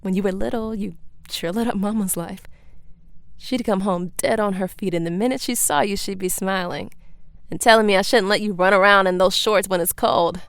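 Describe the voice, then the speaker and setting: A woman's voice: medium pitch, moderate pace, clean tone, no room sound, close to an everyday voice. Firm, earnest woman police chief played by an actress. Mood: reminiscing. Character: stern, principled small-town police chief, protective older sister. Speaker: actor. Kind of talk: audio drama acting.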